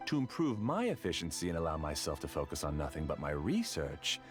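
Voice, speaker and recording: Casting: a deep-voiced, courteous, obsessive scholar; lively, varied delivery; video game. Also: male voice, over music. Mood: calm confession. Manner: courteous, measured, quietly intense. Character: obsessive scholarly researcher, polite and calculating. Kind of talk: game cutscene dialogue.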